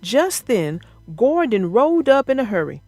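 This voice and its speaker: Casting: expressive woman for children's read-aloud narration, lively and high-pitched. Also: measured pace, no echo, soft background music. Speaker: storybook narrator.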